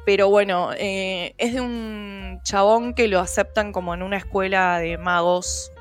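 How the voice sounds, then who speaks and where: A woman's voice: mid-range voice, fairly even intonation, measured pace, faint music behind. A woman with a Rioplatense Spanish accent, co-hosting a casual podcast chat, slangy and full of fillers. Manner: chatty, slangy, frequent fillers. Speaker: podcast co-host.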